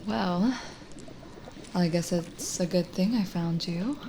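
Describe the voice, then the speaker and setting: A woman playing a lonely, kindly witch in an ASMR roleplay, speaking gently and coaxingly with hesitant pauses and drifts into whispering. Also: fairly low pitch, slight background noise, low-quality recording. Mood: reassuring. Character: lonely, kindly forest witch, soothing and hospitable. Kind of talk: ASMR roleplay audio drama.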